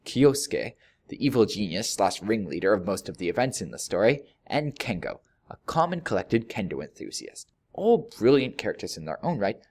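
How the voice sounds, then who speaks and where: Male narrator for a scripted review: highly varied intonation, dry humour, pointed pauses for emphasis. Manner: wry, deadpan joking with emphatic pauses. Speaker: video reviewer.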